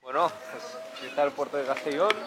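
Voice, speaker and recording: A man's voice: fairly high pitch, fast talker. A man from Spain casually showing someone around, explaining with plenty of "eh" and "bueno". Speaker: local guide. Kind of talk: casual explaining while walking. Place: outdoors at a harbor. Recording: outdoor noise around the voice.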